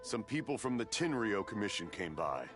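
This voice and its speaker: Casting: kindly older father in a video game, earnest, varied pitch, over clear music. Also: sing-song, normal speed. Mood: concerned. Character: kindly older father, hard of hearing, apologetic.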